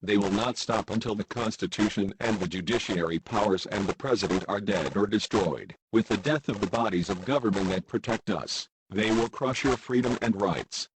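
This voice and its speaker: A man's voice: lowish voice, moderate pace, few pauses, noisy background, natural voice. A man playing a grave, embattled American president giving a formal, declamatory emergency address. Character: grave, embattled male President of the United States.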